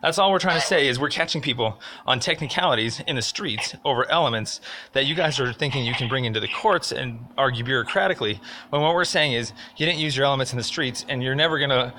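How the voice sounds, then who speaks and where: A man's voice: medium pitch, fast talker, bright, forceful tone, a little hiss or hum, poor audio. A man arguing in an insistent, legalistic and wordy way, as a citizen making a complaint. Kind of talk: argumentative phone call and conversation.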